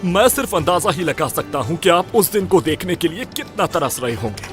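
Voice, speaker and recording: A man playing a bossy, excitable, greedy ship's crewman in a Hindi cartoon dub. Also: on the high side, a little fast, soft background music. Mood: excited.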